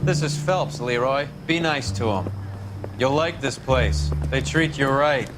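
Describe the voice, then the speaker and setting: A man's voice: mid-range voice, a little slow, natural voice. Casting: male actor as swaggering American vice cop, 1940s crime game, slangy and sneering, mid-range voice, music behind. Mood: cocky, breezy. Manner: cocky, slangy, needling. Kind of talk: scripted game acting.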